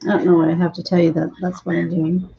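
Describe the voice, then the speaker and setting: A hoarse woman chatting casually and a bit scattered as she hosts a livestream from her home painting room. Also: lowish voice, little pitch movement, quick pace, dark tone, a little hiss or hum. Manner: rambling, unprepared, chatty. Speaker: livestream host. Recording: dull lo-fi sound.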